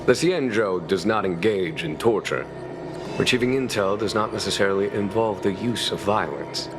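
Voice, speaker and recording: An actor playing a calm, shrewd general in a video game, speaking in a measured, formal and diplomatic way. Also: male voice, fairly low pitch, background noise, close to an everyday voice. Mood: courteous, composed. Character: calm, courteous, shrewd male general commanding a starship's knights. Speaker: military leader. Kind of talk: scripted video game dialogue.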